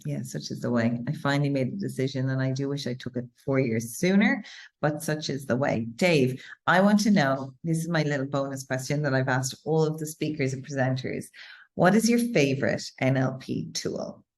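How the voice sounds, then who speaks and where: A woman with an Irish accent interviewing a guest over a video call, chatty and quick to repeat herself. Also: a bass voice. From Ireland. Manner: chatty, repeats words when excited.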